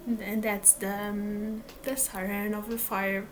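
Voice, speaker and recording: A woman's voice: medium pitch, level tone, slow, a little hiss or hum. A woman whose first language is Portuguese, chatting casually to camera about her reading plans, hesitant, with many fillers and restarts. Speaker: book vlogger.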